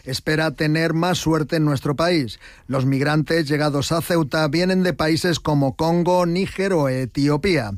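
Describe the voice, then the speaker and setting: A man delivering a recorded news report in a steady, informative tone. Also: a little high, a little slow, hardly any pauses, no echo, clean studio sound.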